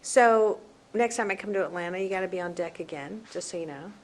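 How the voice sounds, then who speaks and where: A woman's voice: lowish voice, brisk. Breezy woman moderator, faint background noise. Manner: informal, breezy, slangy, effusive. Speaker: panel moderator. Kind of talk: casual panel moderation. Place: live panel event. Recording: faint room noise of live event.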